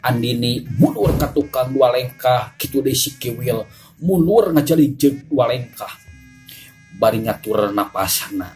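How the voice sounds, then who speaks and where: A woman's voice: rumbling low, animated, measured pace, a little mellow, soft background music. A woman with a Sundanese accent narrating a folk tale in a dramatic storytelling style.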